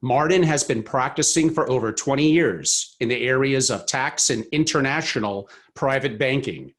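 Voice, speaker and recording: A man hosting a podcast, with a voice of ordinary middle pitch. He speaks in a measured, formal way as he introduces a guest and asks questions. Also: fairly bright tone. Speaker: podcast host. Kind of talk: scripted intro then interview questions.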